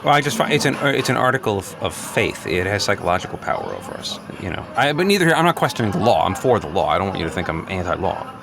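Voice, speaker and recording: A man's voice: fairly low pitch, big pitch swings, fast talker, creaky, hardly any pauses, crowd noise. A man improvising a radio-play role as a manic, defensive office worker who philosophizes in earnest, hesitant rambles.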